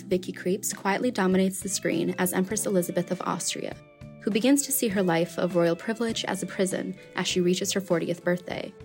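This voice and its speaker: Brief: podcast host, woman, lowish voice, measured scripted read over faint music. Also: a little mellow. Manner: measured, polished reading. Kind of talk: scripted introduction.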